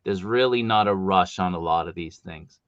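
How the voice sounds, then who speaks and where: A man hosting a live stream from home, chatty and animated, with a big voice and coming down with a cold. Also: deep voice, normal speed, lo-fi sound. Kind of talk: casual live stream chat.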